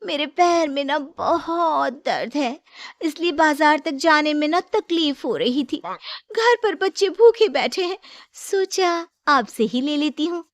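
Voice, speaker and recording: A woman's voice: high voice, lively intonation, slow pace, no echo, pitched above the performer's own voice, exaggerated voice. A woman voicing a brash, stingy, scheming daughter-in-law who wheedles in a Hindi moral story. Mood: wheedling.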